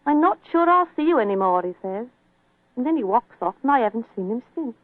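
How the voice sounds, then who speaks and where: Fretful English landlady, highish voice. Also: very animated, brisk, dry recording. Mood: worried. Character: worried, deferential working-class English landlady. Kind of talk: 1940s radio drama acting. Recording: tinny, dry old radio recording.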